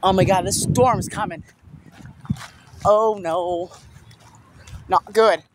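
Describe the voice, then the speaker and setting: A young man vlogging while walking outside, talking excitedly and repeating himself about an approaching storm, filmed on a handheld phone. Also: high voice, slow pace. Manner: excited, repetitive exclamations. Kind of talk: casual walking vlog. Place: outdoors on a small-town street. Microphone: phone camera held at arm's length. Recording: outdoor street noise.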